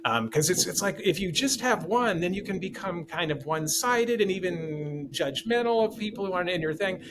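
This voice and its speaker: A man's voice: high-pitched, animated, normal speed. Rambling man with a noisy background. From Taiwan. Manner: rambling, many restarts and fillers. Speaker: podcast guest. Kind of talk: casual conversation.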